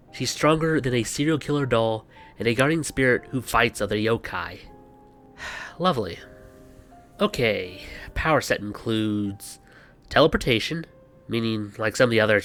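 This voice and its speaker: A man's voice: medium pitch, fairly bright tone. A man hosting a scripted explainer with banter, speaking in a wry, sarcastic way with exasperated asides. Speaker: video host. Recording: music behind the voice. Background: background music.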